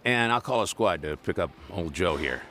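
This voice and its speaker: An actor playing a gruff, world-weary police officer, terse and matter-of-fact, in a radio drama. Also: male voice, deep voice, animated, normal speed, bright, forceful tone, a little hiss or hum. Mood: businesslike.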